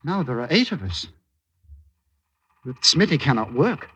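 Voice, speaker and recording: A man's voice: mid-range voice, sing-song, a little slow, a bit bright, slightly rough, heavily acted. An actor playing an earnest, brooding sixteen-year-old ship's boy with careful wording in a 1950s radio drama. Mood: uneasy, calculating. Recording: dull, hissy old radio recording.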